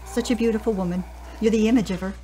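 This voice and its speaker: An actress playing a kindly, matter-of-fact hospital nurse in an audio drama, gentle and practical. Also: female voice, medium pitch, fairly even intonation, fast talker, a little hiss or hum, lightly acted, poor audio. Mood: gentle, businesslike.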